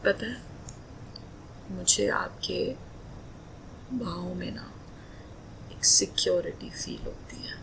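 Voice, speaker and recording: A woman playing a loving, playful wife in a romantic roleplay ASMR, speaking softly and breathily in an intimate, coaxing manner, often slipping into whispers. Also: on the low side, takes it slowly, gravelly, pauses often, noisy background. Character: loving, emotional, playful young wife doting on husband.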